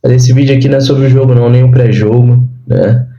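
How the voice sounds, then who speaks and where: Brief: hoarse Brazilian sports host, mellow dark tone, relaxed chatty delivery, echoey room. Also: male voice, mid-range voice, fast. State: hoarse, sore throat. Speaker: football channel host and radio announcer. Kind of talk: casual sports commentary vlog. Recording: roomy, dull lo-fi sound.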